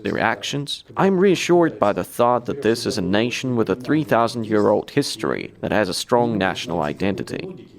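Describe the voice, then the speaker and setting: A man co-hosting a radio program, reading interview answers aloud in a measured, earnest way. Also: lowish voice, gravelly. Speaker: radio co-host reading the guest's answers in English. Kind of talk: read-aloud interview voice-over.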